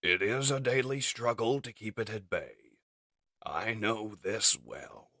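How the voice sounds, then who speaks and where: A man voicing a wise, kindly robot leader in a comic dub, solemn, measured and fatherly. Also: lowish voice, lively intonation, pushed and bright, no echo, clean studio sound, exaggerated voice. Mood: reassuring. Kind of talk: comic dub character acting.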